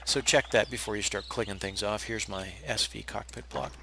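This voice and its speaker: A hoarse, raspy man who is feeling unwell, casually talking viewers through a game build step by step with plenty of pauses and restarts. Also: fairly low pitch, a little hiss or hum. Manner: relaxed, explanatory, with frequent uh fillers and restarts. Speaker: game tutorial narrator. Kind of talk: casual step-by-step gameplay tutorial.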